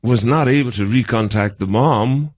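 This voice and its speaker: An older American man answering as an interview guest, halting and wordy, with folksy turns of phrase. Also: medium pitch, no room sound. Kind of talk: conversational, reminiscing interview answers.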